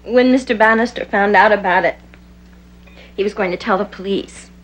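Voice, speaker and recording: An actress playing an anxious, desperate young American informant in a 1960s television crime drama. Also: female voice, medium pitch, normal speed, lightly acted. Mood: regretful, confiding. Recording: noisy old TV soundtrack with hum.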